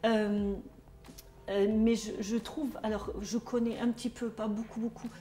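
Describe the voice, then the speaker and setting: A woman's voice: a little high, little pitch movement, a little slow, mellow, reverberant. A middle-aged woman from Germany speaking with a German accent, talking hesitantly with many fillers and restarts in a testimonial interview as a trainer. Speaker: trainer at a social work school.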